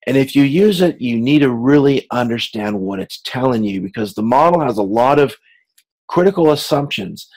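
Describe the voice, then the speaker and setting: Male course lecturer, mid-pitched voice. Informal, explanatory delivery that restarts and rewords phrases along the way. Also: animated, a little mellow. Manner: halting, repeats and rephrases himself as he explains.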